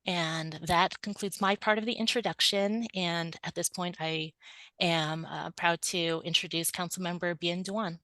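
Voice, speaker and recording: A woman's voice: lowish voice, fairly even intonation, measured pace, pushed and bright, no room sound. A woman presenting on a webinar panel, speaking steadily with frequent ums. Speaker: city planning manager.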